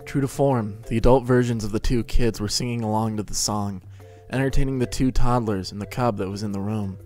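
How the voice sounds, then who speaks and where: A man's voice: lowish voice, steady tone, a little fast, darkish tone. A man reading scripted fiction as a narrator in a dramatic reading.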